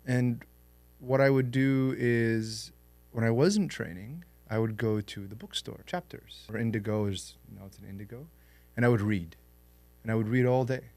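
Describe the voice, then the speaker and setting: A young man born in Lebanon and raised in Canada, telling his life story in a relaxed, reflective way as a podcast guest. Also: mid-range voice, moderate pace, dry recording. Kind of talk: interview storytelling.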